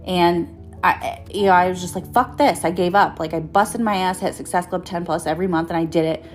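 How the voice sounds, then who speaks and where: Woman in her thirties, lowish pitch, casual storytelling, candid, rambling, sweary, music playing behind. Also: fairly quick. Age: 30s. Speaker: host, author and motivational speaker. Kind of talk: casual personal storytelling monologue.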